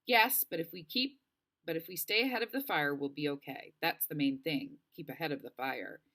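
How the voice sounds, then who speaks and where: A woman's voice: low-pitched, normal speed, a bit bright. A woman voicing a practical, level-headed young camper who guides a stranded friend, in a storybook read-aloud. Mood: reassuring. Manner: matter-of-fact, reassuring. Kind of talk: storybook character voice.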